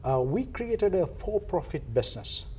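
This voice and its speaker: A man with a Sri Lankan accent explaining things as a guest in a televised interview, halting now and then mid-sentence. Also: high-pitched, very animated, slight background noise. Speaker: interview guest, foundation co-founder.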